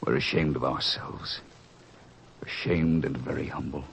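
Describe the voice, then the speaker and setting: Low-voiced, grave apostle. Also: male voice, steady tone, a little slow, creaky, a bit bright, full character voice. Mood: gentle, humbled. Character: grave, compassionate apostle, humble leader of the group. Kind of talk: old-fashioned radio drama acting. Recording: hissy old radio drama recording.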